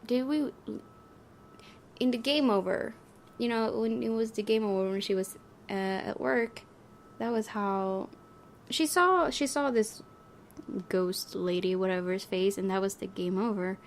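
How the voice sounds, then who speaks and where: A young woman with a slightly raspy voice, a game streamer who reads a horror visual novel aloud in a hushed, dramatic way and breaks into hesitant asides. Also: mid-range voice, measured pace, a little hiss or hum. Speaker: let's play host. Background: loud game music.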